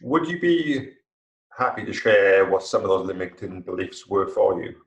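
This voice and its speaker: A man co-hosting a podcast, listening quietly and asking short questions. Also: low voice, lively intonation, moderate pace, gravelly, highs cut off. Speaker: podcast co-host. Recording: distant echoey mic.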